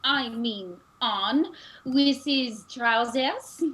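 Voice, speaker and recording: Coquettish mademoiselle, high, bright, slow and saucy, comic radio play. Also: female voice. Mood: flirtatious. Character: flirtatious, saucy mademoiselle guest.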